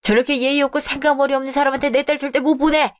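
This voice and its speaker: A woman's voice: sits high, flat delivery, rapid-fire, natural voice. A woman voicing a stern, protective middle-aged father in a radio drama who speaks curtly.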